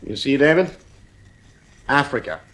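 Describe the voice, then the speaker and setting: A man's voice: medium pitch, expressive, a little slow, a bit bright. A man playing a stern, worried father in an audio drama, firm but exasperated and anxious. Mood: firm, uneasy. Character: stern, worried father losing authority over his children.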